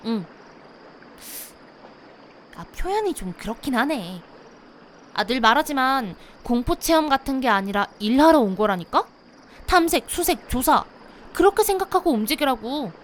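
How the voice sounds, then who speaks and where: A woman acting a teasing, bossy young investigator in a visual novel, expressive and quick to scold, with rain and insects behind her. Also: high-pitched, slight background noise.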